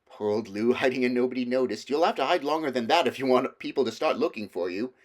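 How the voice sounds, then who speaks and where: A man's voice: mid-range voice, expressive, fast talker, lightly acted. A man voicing a confident schoolboy elder brother in a children's storybook, hearty, reasoning and kindly patronizing. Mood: teasing, puzzled.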